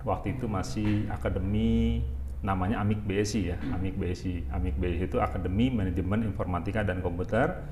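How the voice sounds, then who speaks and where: A man in his forties who grew up in Jakarta talks through a casual interview, pausing often and using plenty of fillers. His voice sits somewhat low. A faint hiss runs beneath the recording. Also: steady tone. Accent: Jakarta Indonesian. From Jakarta, Indonesia. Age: 40s. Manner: unhurried, halting, many fillers. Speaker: university rector, guest.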